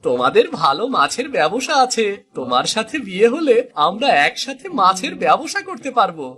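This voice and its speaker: A man's voice: high voice, big pitch swings, a little fast, pushed and bright, full character voice. A man voicing an obedient young fisherman, an eager and mercenary suitor in a cartoon. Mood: eager, persuasive.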